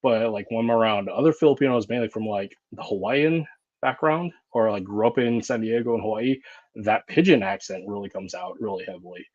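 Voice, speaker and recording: A man's voice: fairly low pitch, brisk, darkish tone, dry recording. A man hosting a podcast in casual conversation, rambling and reflective, with many fillers and restarts. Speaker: podcast host. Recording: dull, dry lo-fi sound.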